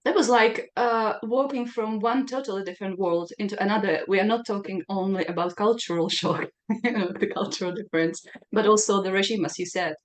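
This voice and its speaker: A woman's voice: mid-range voice, fairly quick. A woman from the hosts an interview podcast. She is playful and laughs often. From Czech Republic. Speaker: podcast host. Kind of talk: casual interview chat.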